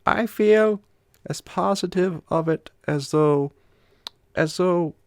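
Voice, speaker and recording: A man acts out an uneasy male traveler from a horror story, pitched well up high. He sounds shaken and hesitant, in a dry, close recording. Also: slow, no echo. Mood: uneasy relief. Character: Swedish male travel companion, shaken, eerily relieved. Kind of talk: audiobook horror story character dialogue.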